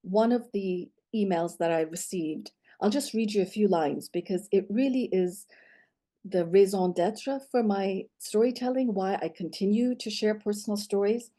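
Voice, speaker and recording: A middle-aged woman telling her personal story in an interview, reflective and measured, often saying "you know". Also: medium pitch, warm tone. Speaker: guest storyteller.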